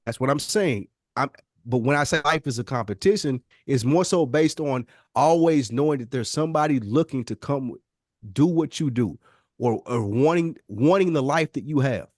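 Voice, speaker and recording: A man's voice: medium pitch, animated, moderate pace, no room sound, studio quality. A man co-hosting a podcast, explaining his point insistently in a casual debate. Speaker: podcast co-host.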